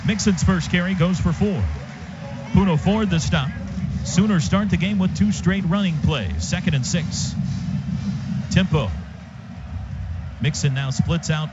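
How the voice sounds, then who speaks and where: A man's voice: fairly high pitch, slow pace, pauses often, over music. A man calling football live on radio as play-by-play announcer, in clipped, urgent phrases, from a stadium booth. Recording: dull radio feed over stadium noise. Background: stadium ambience.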